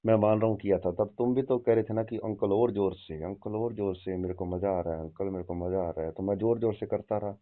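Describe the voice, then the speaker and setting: A man's voice: lowish voice, fairly even intonation, fairly quick, hardly any pauses, close to an everyday voice. A man playing an evasive older father-in-law in a dramatized phone call, stammering and repeating himself as he defends himself. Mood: arguing. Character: evasive older father-in-law dodging blame, pleading and cajoling. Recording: dull lo-fi phone-call audio.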